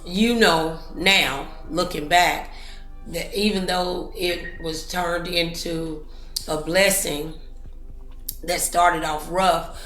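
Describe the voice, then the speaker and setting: A woman's voice: lowish voice, fairly bright tone, echoey room, poor audio. A woman hosting a motivational interview in an encouraging, preacherly manner. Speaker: talk show host.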